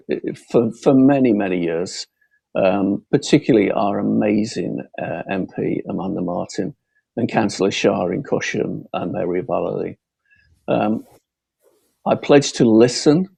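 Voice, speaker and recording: Gravelly Lancashire man, slow and earnest. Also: medium pitch, warm tone, dry recording. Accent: northern English, Lancashire. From Bolton, Lancashire, England. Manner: earnest, halting, repeats words. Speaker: local council candidate. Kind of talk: closing campaign speech.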